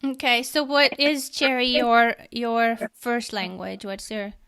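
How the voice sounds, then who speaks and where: Smooth, higher-voiced woman host. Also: fairly even intonation, takes it slowly, a bit bright. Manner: curious, encouraging, many "mm-hmm"s. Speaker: podcast host. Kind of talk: interviewing.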